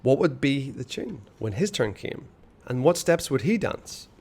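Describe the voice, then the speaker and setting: A man reading a children's novel aloud, expressive and hushed in the dark passages. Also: medium pitch, a little slow, husky. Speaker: storyteller.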